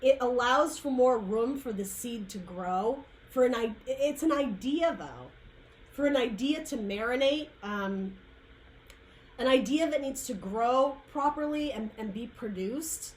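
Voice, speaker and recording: Woman tarot reader, fairly high voice, dry fading throat, rambling, faint room hum behind. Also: a little slow, rough recording. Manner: rambling, searching for words, frequent "like" and "um". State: dry, losing her voice. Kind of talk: casual tarot reading monologue.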